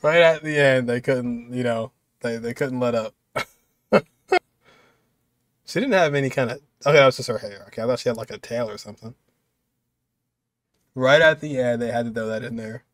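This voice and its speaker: A young man giving casual, excited reaction commentary with exclamations and slang. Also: medium pitch, moderate pace, pauses often, no room sound, clean studio sound. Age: young adult. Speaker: game reaction video host.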